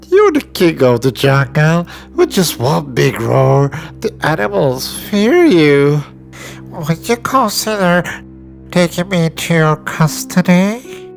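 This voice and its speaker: A woman's voice: on the low side, big pitch swings, takes it slowly, creaky, soft background music, exaggerated voice. A woman playing a timid tiny mouse in a children's storybook, pleading and hesitant. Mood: fearful pleading.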